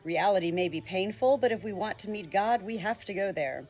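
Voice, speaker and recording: A woman reading a nonfiction book chapter aloud as an audiobook, with earnest, expressive emphasis. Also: mid-range voice, brisk, dull audio. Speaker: audiobook reader.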